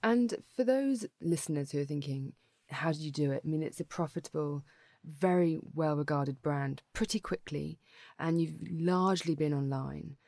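A woman hosting a podcast, with a very low voice recorded dry and close. She asks her guest inquisitive, open questions. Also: moderate pace, a little mellow, no room sound. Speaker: podcast host, interviewer. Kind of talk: interview questions.

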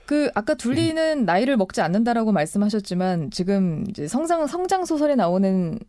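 A woman's voice: medium pitch, big pitch swings, a little fast, mellow, no room sound. A woman hosting a radio interview, engaged and asking questions, with frequent short acknowledgements.

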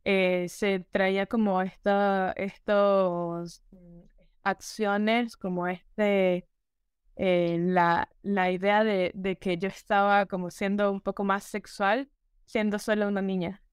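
A woman's voice: mid-range voice, level tone, slow, clean tone, dry recording, clean studio sound. A teenage girl from Venezuela answering in an interview, hesitant and searching for words. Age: teens. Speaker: guest.

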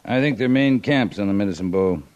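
An actor plays a cavalry scout in an old-time radio western. His American voice sits low, matter-of-fact and firm, with an assured, clipped delivery. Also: fast, darkish tone, smooth, lo-fi sound, natural voice. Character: firm, plain-spoken cavalry scout reporting to his officer.

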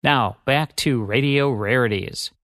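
A man's voice: medium pitch, a little slow, fairly bright tone. A man with an American accent reading a scripted promo as a radio host.

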